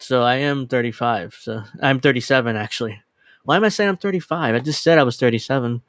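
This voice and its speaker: A man's voice: medium pitch, no echo, studio quality. A man in his late thirties hosting a casual livestream, rambling and correcting himself as he chats into a desk microphone. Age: 30s. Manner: rambling, self-correcting, chatty. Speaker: livestream host. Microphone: desk USB microphone.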